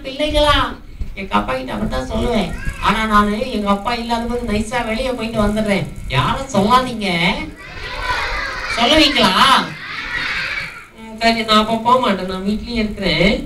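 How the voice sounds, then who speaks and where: A woman's voice: a little high, normal speed, pauses often, close to an everyday voice. A woman doing a sneaky little boy puppet. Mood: sly. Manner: childish pleading, whiny. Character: mischievous young boy Tinku, sneaky but eager. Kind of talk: puppet show for children. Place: prayer house hall. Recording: echoey hall, distant mic, noisy.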